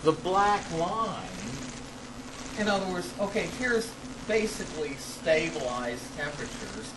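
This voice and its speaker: A man reading an academic paper aloud in a classroom as a lecturer, halting and deliberate, stressing key words and adding spoken asides. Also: high-pitched. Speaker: lecturer presenting own paper. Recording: clearly noisy live classroom recording.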